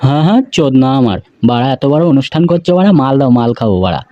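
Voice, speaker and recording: Guy doing boozy rude cartoon buddy, really fast mocking talk, dull lo-fi sound. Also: mid-range voice, sing-song, natural voice. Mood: grumbling. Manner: crude, demanding and mocking. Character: gruff, foul-mouthed boozy village buddy. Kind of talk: comic cartoon dubbing.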